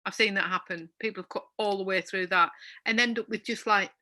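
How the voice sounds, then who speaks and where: A woman's voice: medium pitch, steady tone, fairly quick, sharp tone. A middle-aged woman with a North East English accent that drifts toward Yorkshire, chatting as a podcast co-host, teasing and incredulous, laughing often. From North East England. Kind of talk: casual chat.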